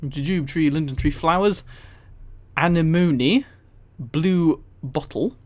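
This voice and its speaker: A live streamer with a mid-pitched voice reads aloud in a casual, chatty way. The delivery is amused and incredulous, breaking off for mildly sweary commentary to the viewers. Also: slow pace. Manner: amused, often laughing while reading. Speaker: streamer and host.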